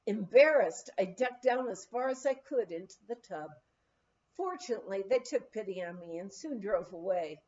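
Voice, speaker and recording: A woman reading a humorous memoir aloud as an expressive, animated storyteller. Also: medium pitch, normal speed, muffled recording. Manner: animated storytelling with acted dialogue. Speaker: audiobook reader.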